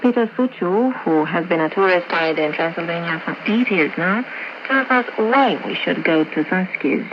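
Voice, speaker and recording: A presenter reads a scripted travel segment at a medium pitch. The voice comes through shortwave AM radio, dulled in tone and covered in clear static noise. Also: expressive, normal speed. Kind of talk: scripted narration. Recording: noisy, muffled shortwave AM radio reception.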